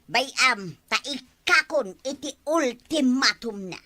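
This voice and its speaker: A woman's voice: high-pitched, animated, slow, pushed and bright, dry recording, full character voice. A woman playing a fed-up, scolding, insistent village wife in an Ilocano comedy drama, with an Ilocano accent. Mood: weary, ashamed. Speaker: actress in comedy skit.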